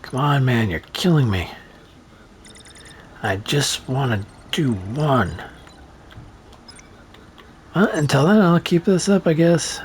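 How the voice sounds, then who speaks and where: A man's voice: mid-range voice, expressive, slow pace, pauses often, a little hiss or hum. A man rambling through casual gameplay commentary, sarcastic and profane, with a voice that is starting to go. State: losing his voice. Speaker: gaming streamer.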